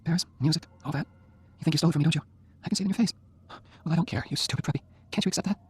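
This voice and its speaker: A man's voice: on the high side, racing, husky, pitched above the performer's own voice. A man voicing a frail, teasing dying young woman. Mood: scolding. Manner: soft and weak, flaring into sharp scolding.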